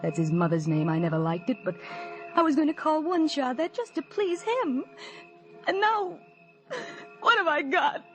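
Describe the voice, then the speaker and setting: Obsessive, lovesick young woman, high-voiced. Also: very animated, moderate pace, long pauses. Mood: tearful, bitter. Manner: fervent, dramatic, breathy pleading. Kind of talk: 1940s radio suspense acting. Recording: old radio broadcast with music behind.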